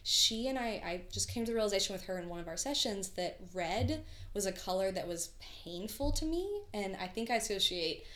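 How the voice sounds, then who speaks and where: A woman's voice: mid-range voice, animated, brisk, a bit bright. A woman guest artist telling stories in a casual, enthusiastic podcast conversation. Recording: roomy, echoey sound.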